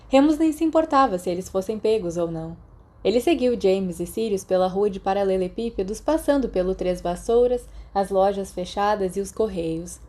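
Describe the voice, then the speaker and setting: A woman's voice: medium pitch, warm tone. A woman with a Brazilian accent reads a story aloud as scripted audiobook narration. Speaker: podfic narrator.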